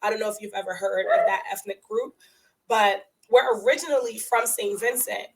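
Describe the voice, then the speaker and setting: A young adult woman dentist, raised in the United States with family from Guatemala, talking as a podcast guest and telling stories in an animated way, laughing often and acting out what others said. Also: medium pitch, normal speed, rough recording. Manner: animated storytelling, laughs often, acts out quoted voices. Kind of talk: casual conversational interview.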